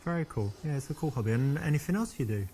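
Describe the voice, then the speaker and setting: A man's voice: a little high, expressive, rapid-fire, warm tone, a little hiss or hum, close to an everyday voice. An actor with a southern English accent playing a chatty, easygoing man flirting on a date in a dating game. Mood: friendly, curious. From London, England. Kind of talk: acted dating-game dialogue.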